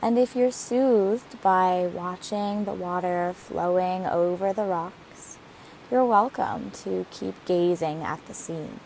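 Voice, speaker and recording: A woman leading a guided meditation in a gentle, soothing manner with deliberate phrasing. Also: lowish voice, vocal fry, low-quality recording. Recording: steady hiss behind the voice. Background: hiss, rushing waterfall water.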